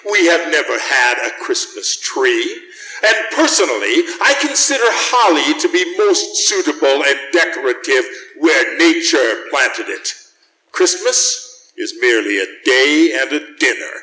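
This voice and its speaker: Slow, pompous, stern householder acted by a man. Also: fairly high pitch, very animated, bright, forceful tone, exaggerated voice. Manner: pompous, formal, clipped disapproval. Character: stiff, stern, irritable respectable New England householder. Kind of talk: radio drama acting. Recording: echoey hall with long reverb.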